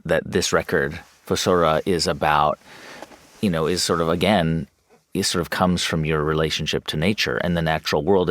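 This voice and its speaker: A man's voice: rumbling low, fairly bright tone, dry recording, clean studio sound. A man working his way conversationally toward an interview question, with tentative restarts. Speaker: podcast interviewer.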